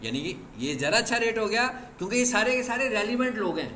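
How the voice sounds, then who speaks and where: High-voiced male teacher in an echoey classroom. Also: very animated, quick pace, bright, forceful tone. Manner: explains through rhetorical questions and answers them himself; stern with students about discipline. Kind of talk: classroom lecture. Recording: echoey classroom with faint background noise.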